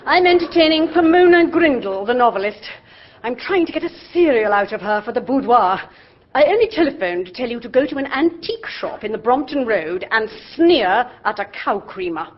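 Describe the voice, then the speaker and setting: A hearty, bossy middle-aged aunt in a radio play, barking orders in a brisk, slightly high voice. The recording is somewhat dull. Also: female voice, sing-song. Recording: dull lo-fi audio.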